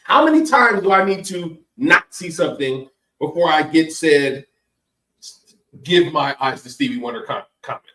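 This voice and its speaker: A man's voice: on the high side, lively intonation, moderate pace, fairly bright tone. A man hosting a casual cooking podcast, joking around and plugging the network's other shows. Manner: joking, hypes upcoming shows. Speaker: podcast host. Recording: roomy, distant mic.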